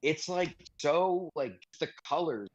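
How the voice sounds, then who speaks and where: A man's voice: a little high, animated, slow pace, no echo. A man co-hosting a podcast, chatting casually and informally. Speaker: podcast co-host. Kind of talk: casual chat. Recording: dull, slightly lo-fi microphone.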